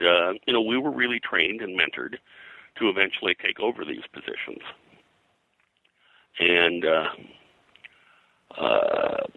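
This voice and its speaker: An older man reminiscing in an interview as a comics industry veteran, in a halting, rambling way peppered with "you know". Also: on the low side, vocal fry, sharp tone, no room sound, poor audio.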